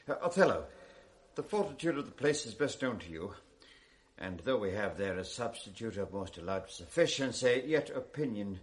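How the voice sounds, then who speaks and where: A man's voice: medium pitch, a bit bright, natural voice. Guy doing a duke running a council, formal, bossy, plain mid voice. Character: authoritative male duke presiding over council. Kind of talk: 1980s radio drama acting.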